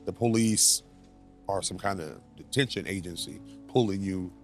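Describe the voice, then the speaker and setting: A man's voice: low voice, moderate pace, soft background music. A man interviewing a guest for a news outlet, asking conversational questions and nudging along with short acknowledgements, recorded at a law office.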